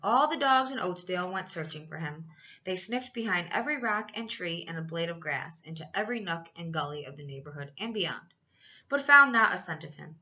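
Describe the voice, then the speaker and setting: Lady teacher doing storytime, bright clear-cut tone, dramatic emphasis, recording a little muffled. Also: lowish voice, animated, brisk. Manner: dramatic emphasis for young listeners. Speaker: teacher reading aloud. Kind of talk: expressive children's storybook narration.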